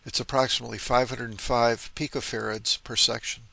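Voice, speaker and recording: A man's voice: medium pitch, flat delivery, moderate pace, gravelly, sharp tone. An older man presenting as a radio hobbyist, giving an informal, methodical technical walkthrough in an explanatory way.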